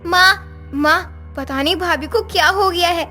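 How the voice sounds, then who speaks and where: Casting: female actor as spoiled young tattletale, very high voice, demanding and whiny, cartoon style over music. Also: a little slow, sharp tone, exaggerated voice. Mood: frightened. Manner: demanding, complaining. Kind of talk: Hindi moral-story cartoon dubbing.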